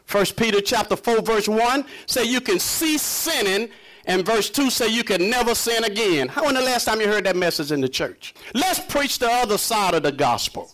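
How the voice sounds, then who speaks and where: High-pitched male preacher, emphatic. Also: fairly bright tone, rough recording. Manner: emphatic, conversational, challenging with rhetorical questions. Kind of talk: impassioned sermon to a congregation.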